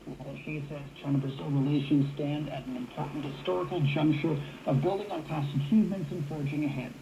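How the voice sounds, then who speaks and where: A man's voice: fairly high pitch, gravelly. A man reading scripted news as a radio anchor. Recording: faintly noisy shortwave radio reception.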